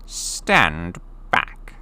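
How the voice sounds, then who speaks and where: A man voicing a curt, self-important male goblin in a storybook reading, speaking slowly and flatly. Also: exaggerated voice.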